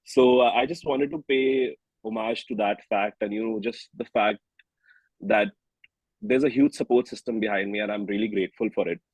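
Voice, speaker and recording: A man's voice: lowish voice, lively intonation, moderate pace, darkish tone, slightly rough, dry recording, rough recording. A man in his early thirties with an Indian accent, chatting informally as a singer-songwriter in an interview. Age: early 30s. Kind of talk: casual interview chat.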